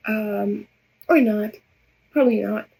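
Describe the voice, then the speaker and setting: A woman's voice: mid-range voice, takes it slowly, gravelly, a little mellow, husky, low-quality recording. A woman whose first language is Russian, talking unscripted to her viewers into a phone camera, rambling and stammering through many restarts. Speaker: vlogger addressing viewers. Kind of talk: unscripted personal monologue.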